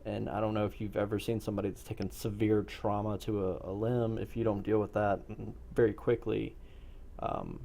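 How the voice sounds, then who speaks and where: A man speaking as a game master, explaining a role-play session in a deliberate, measured way. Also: fairly low pitch, flat delivery, gravelly, mellow.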